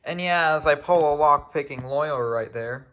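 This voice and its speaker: Guy doing a casual how-to vid at home, slightly high voice, bit of noise and clicking behind him. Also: normal speed, a bit bright, highs cut off. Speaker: video creator demonstrating radios. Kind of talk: casual hands-on tutorial.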